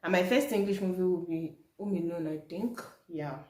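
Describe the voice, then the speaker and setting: A woman's voice: fairly low pitch, moderate pace, darkish tone. A young Nigerian woman, a native Yoruba speaker, vlogging in a casual, chatty storytelling way to her viewers. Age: young adult. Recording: echoey room.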